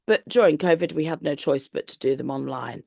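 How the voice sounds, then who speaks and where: A low-pitched woman with a gravelly, croaky voice left over from the flu talks through a course introduction. She explains it patiently and reassures her listeners as she goes. The recording is dry and close, with no room sound around her. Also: fairly quick, a little mellow, no echo. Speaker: tutor. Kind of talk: online lesson talk.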